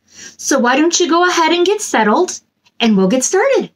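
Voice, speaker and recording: Woman, 30s, kids' story time host; high, very animated, bubbly read-aloud in a small room. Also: moderate pace. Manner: bubbly, sing-song talk to children. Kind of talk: reading a picture book aloud to kids. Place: small decorated room indoors.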